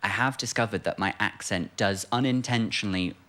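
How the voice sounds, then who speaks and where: A man on a comedy panel telling anecdotes in a camp, animated way. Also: fairly low pitch, brisk. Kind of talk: comic panel banter.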